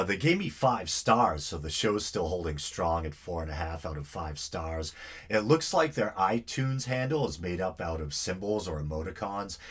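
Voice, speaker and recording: Low, husky-voiced man with a stuffy nasal sound, hosting a podcast, relaxed and meandering. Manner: rambling, self-deprecating, easygoing. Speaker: podcast host. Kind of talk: casual solo podcast monologue.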